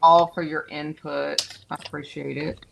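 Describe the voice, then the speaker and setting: Low gravelly Southern woman chatting. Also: little pitch movement, unhurried, background noise. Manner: chatty, thinking aloud, easily amused. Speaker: co-host. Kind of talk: casual livestream craft chat.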